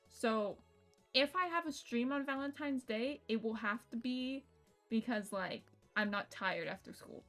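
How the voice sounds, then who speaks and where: A young woman live streaming a game, rambling and joking casually, swearing now and then and reading text aloud. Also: on the high side. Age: young adult. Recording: music playing behind the voice. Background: visual novel game music.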